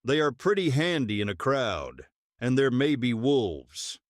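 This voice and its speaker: A man plays a plain-spoken vampire hunter in a Gothic audiobook, ready for action. He talks very slowly and matter-of-factly, with a lively swing in pitch. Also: no echo, clean studio sound. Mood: practical, wary. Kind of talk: dramatised audiobook acting.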